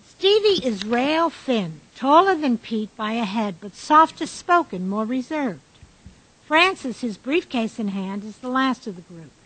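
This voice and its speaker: A woman's voice: on the high side, very animated, unhurried, a bit bright, breathy edge, no room sound, full character voice, rough recording. A woman reading scripted stage directions as the matter-of-fact narrator of a radio drama. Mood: descriptive.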